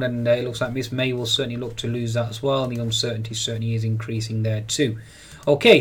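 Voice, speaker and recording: A man giving daily market commentary over trading charts, hesitant with many fillers and frequent repeats. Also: on the high side. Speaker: market analyst. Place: voice-over on screen recording.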